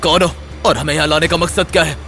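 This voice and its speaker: A male actor plays a hot-headed young prince in an audio drama. His fairly high voice is intense, commanding and determined, with music clearly underneath. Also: steady tone, quick pace, natural voice. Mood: grim resolve. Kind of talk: Hindi audio drama acting.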